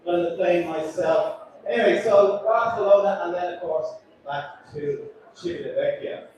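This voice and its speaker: High-voiced captain in an echoey hall. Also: male voice, moderate pace, darkish tone. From Ireland. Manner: chatty, joking, self-deprecating. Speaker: cruise ship captain. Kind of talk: announcement to passengers. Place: large echoey atrium on a cruise ship. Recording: echoey hall from far off, crowd noise.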